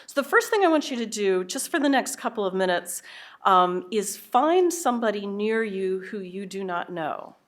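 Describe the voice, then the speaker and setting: A woman in her fifties, a professor, giving a conference talk in a lively, conversational way with a loud voice at a podium microphone in a hall. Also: mid-range voice, normal speed. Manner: lively, conversational, self-aware. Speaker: keynote speaker, professor.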